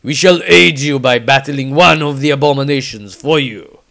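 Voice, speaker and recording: A man's voice: medium pitch, normal speed, vocal fry, sharp tone. A man voicing a hard-faced, gallant adventurer in a fantasy storybook, grandiose and commanding. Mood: gallant confidence.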